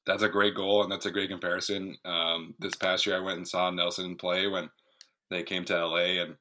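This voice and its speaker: A man's voice: low-pitched, flat delivery, normal speed, pushed and bright, a little hiss or hum. A man working as a podcast interviewer, asking questions and commenting in a casual sports-talk style.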